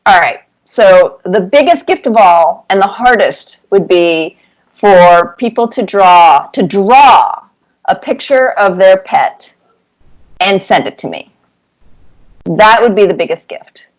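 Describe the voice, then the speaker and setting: A woman in her fifties speaks as an interview guest. Her delivery is casual and reflective, at a middle pitch, explaining things through small personal stories. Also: lively intonation, takes it slowly, poor audio. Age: 50s. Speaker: cartoonist guest being interviewed.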